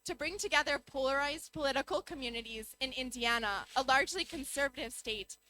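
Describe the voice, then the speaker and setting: A young woman from the United States gives a prepared conference talk. Her voice sits high and sounds bright and crisp. She lays out her points one after another in a measured, clear way. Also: slight background noise, low-quality recording. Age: young adult. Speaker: youth climate speaker. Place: conference pavilion.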